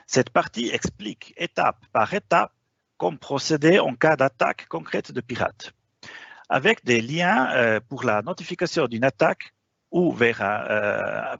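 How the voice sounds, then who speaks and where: A man presenting a professional webinar in a language that is not his first, stopping often on hesitations. His voice rises and falls a lot and carries a gravelly, slightly husky texture. The sound is dry and a bit dull, like a lo-fi online call. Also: medium pitch, a bit bright, dry recording. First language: not French. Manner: hesitant, frequent "euh" fillers.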